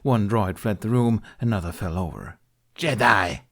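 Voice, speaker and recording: A man's voice: lowish voice, normal speed, mellow. A man narrating scripted fiction as an audiobook reader.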